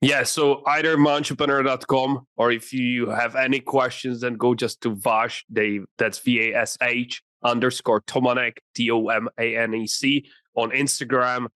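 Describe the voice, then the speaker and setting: A young man in his twenties speaks English with a Czech accent, Czech being his first language. He answers interview questions earnestly, sometimes restarting his sentences. His voice sits at an average pitch, and the recording is dry and close. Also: little pitch movement, moderate pace, hardly any pauses, fairly bright tone, no room sound. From Czech Republic. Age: 20s. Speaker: podcast guest, entrepreneur.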